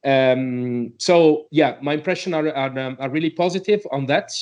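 A man's voice: mid-range voice, normal speed, darkish tone, highs cut off. A man giving his opinion on a podcast, speaking hesitantly in non-native English and searching for words. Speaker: podcast panelist.

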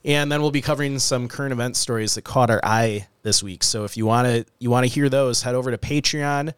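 A man's voice: medium pitch, a little fast, clear, resonant tone, no room sound. Slightly nasal, chatty podcast co-host man. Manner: relaxed, chatty, frequent "uh" and "um". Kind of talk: casual podcast chat.

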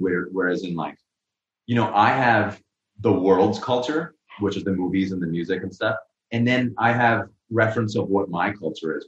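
A young man with a low voice talks in a loose, rambling way, restarting sentences and leaning on "like." His voice sits in an echoey room, and the recording sounds a bit muffled. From Pakistan. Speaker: guest. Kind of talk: casual interview conversation.

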